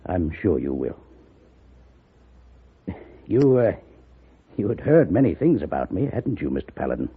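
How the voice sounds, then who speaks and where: Male, wealthy blind patriarch for vintage radio western. Raspy low voice, wide inflection, determined and formal, narrow-band archival sound. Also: very animated, measured pace, long pauses, heavily acted. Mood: resolute. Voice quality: husky. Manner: formal, commanding. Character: proud, determined, wealthy blind gentleman, a father. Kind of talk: 1950s radio western acting. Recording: narrow, muffled old radio recording.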